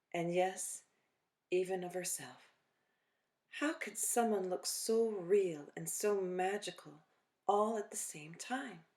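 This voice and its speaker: A woman reading a children's picture book aloud expressively, phrasing deliberately with dramatic pauses. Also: on the low side. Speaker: children's storytime reader.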